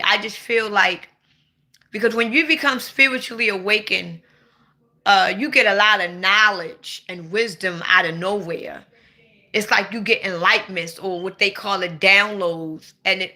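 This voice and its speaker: Bright-voiced playful woman in her forties. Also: mid-range voice. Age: 40s. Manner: blunt, playful, swings to sudden loud outbursts. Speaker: livestream host. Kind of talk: casual livestream chat.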